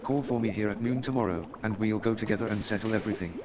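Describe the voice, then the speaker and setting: A man's voice: on the low side, quick pace, a crowd in the background, lightly acted. A man playing an eager, self-assured amateur detective and chemist in a staged radio play, speaking in an emphatic, pedantic way. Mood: brisk, candid. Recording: noisy with watery background sound.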